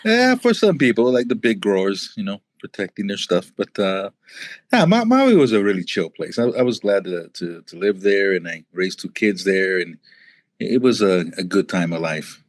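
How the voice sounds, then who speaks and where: A man in his forties from Hawaii, guesting on a podcast. His voice is very deep and recorded dry and close. He tells stories in an easygoing, conversational way. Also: big pitch swings, normal speed, dry recording. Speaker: guest, motivational speaker. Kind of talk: casual interview.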